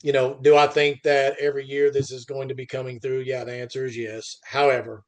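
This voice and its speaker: A man's voice: mid-range voice, flat delivery. A man in an unscripted industry discussion, restarting phrases often and making points emphatically, posing questions and answering them himself. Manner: halting, repeats phrase starts. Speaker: podcast host and freight industry commentator.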